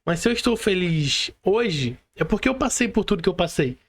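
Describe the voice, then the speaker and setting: A man with a high voice and a Brazilian accent hosts a casual interview. He talks informally and keeps tagging his sentences with little questions for agreement. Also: sing-song, moderate pace, husky. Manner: informal, frequent tag questions seeking agreement. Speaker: podcast host interviewing a guest.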